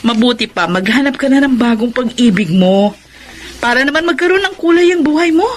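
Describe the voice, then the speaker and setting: A woman's voice: high-pitched, animated, a little fast, a little hiss or hum. A woman with a Filipino accent playing a teasing, meddling older sister figure in a radio drama. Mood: playful, coaxing.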